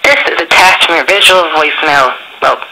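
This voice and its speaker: A man's voice: fairly high pitch, brisk, vocal fry, pushed and bright, low-quality recording. A young man leaving a casual message, heard as phone voicemail playback. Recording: harsh voicemail played on phone speaker.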